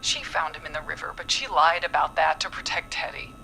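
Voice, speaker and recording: A woman's voice: low voice, flat delivery, brisk, gravelly, bright, forceful tone, slight background noise, full character voice, low-quality recording. An actress playing a vengeful, unstable young woman in a video game, dramatic and bitter, often dropping into whispers.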